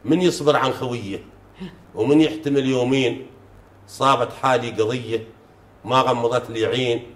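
A middle-aged man, a folk poet chanting verses in measured recitation during a casual sit-down talk in a living room, on a lapel mic. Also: medium pitch, steady tone. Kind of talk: reciting folk poetry in conversation. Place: living room sofa, indoors. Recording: roomy echo.

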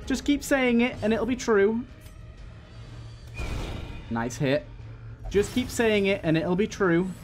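A man with a fairly high voice commentates casually on a game as he plays. He speaks to the characters directly and repeats himself, with music playing underneath. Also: very animated, slow pace, pauses often. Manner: talks to the game's characters, repeats phrases. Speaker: gaming host. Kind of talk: casual let's play commentary.

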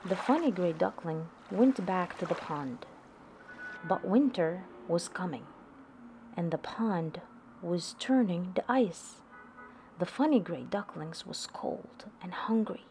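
Slow-reading woman, rather low voice. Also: lively intonation, a little mellow, faint music behind. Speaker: host reading picture books to children. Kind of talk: read-aloud storybook narration.

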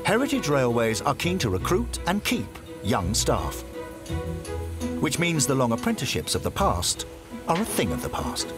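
Male documentary narrator, very lively pitch. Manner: measured, storytelling delivery. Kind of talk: scripted narration. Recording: voice-over with background music.

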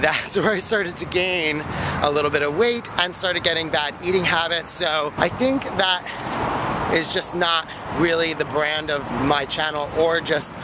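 A man in his thirties chatting casually into a handheld phone while walking along a park path, rambling with fillers and light laughs. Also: a little high. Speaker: vlogger. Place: outdoor park path near a street. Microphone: phone held at arm's length. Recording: loud outdoor traffic noise.

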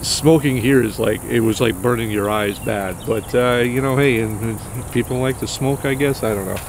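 A man in his forties vlogging outdoors from an RV campground, chatty and excitable, rambling with restarts. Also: medium pitch, moderate pace, background noise. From New Hampshire. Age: 40s. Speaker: RV travel vlogger. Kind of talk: casual vlog talk to camera.

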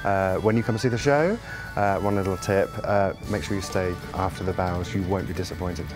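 A man in his forties with a deep, low voice gives a calm, unhurried tour of a theatre band's instruments, explaining each one. Music plays underneath him throughout. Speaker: musical director. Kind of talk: guided tour to camera. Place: theatre stage set, indoors.

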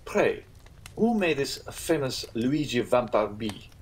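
A man reads the part of a breezy, mocking young gentleman in a storybook. His delivery is animated and teasing, with a slightly husky edge and a middling pitch. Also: takes it slowly, full character voice. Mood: mocking, amused.

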